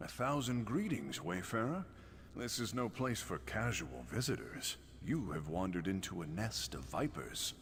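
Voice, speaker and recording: An actor voicing a suave, roguish reptilian smuggler merchant in a video game, speaking with flowery, wry courtesy. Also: male voice, fairly low pitch, big pitch swings, unhurried, a bit bright, big-room sound, full character voice. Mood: suave, welcoming. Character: suave, roguish male Argonian lizard-man smuggler merchant. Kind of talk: video game character dialogue.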